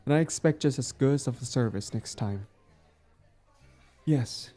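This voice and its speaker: A man acting out a smug but smitten villain on a date, smooth-talking and casual, in an intimate audio roleplay. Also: mid-range voice, measured pace, warm tone, soft background music, close to an everyday voice. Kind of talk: intimate scripted boyfriend-style audio roleplay.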